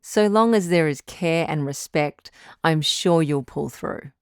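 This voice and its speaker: A woman playing a calm doctor who hosts a radio advice show in an audio drama, measured, articulate and reassuring. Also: low voice, clear, resonant tone, no room sound, clean studio sound. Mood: reassuring, sincere. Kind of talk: scripted audio drama as radio call-in host.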